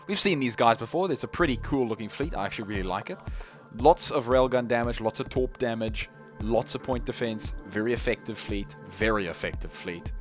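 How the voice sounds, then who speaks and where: A man giving chatty live commentary on a game tournament, his voice going hoarse. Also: medium pitch, moderate pace. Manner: chatty, rambling, lots of restarts and laughs. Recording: dull lo-fi recording with faint music.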